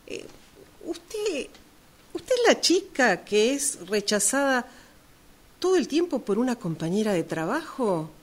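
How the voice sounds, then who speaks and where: A woman plays a discreet but bossy female shopkeeper in a radio drama. Her high voice swings theatrically up and down while she keeps a formal, slowish delivery. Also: big pitch swings. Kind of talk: amateur radio play acting.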